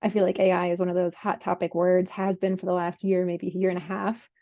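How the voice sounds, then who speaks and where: A woman's voice: fairly low pitch, flat delivery, fast talker, mellow, dry recording. A woman working as a biotech analyst, giving a conversational market briefing on a call. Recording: slightly dull, lo-fi call audio.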